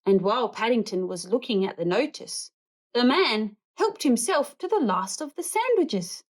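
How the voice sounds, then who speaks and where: A woman reading a picture book aloud to children in an expressive, animated storytelling way. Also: on the high side, normal speed. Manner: animated, acts out characters and animal noises.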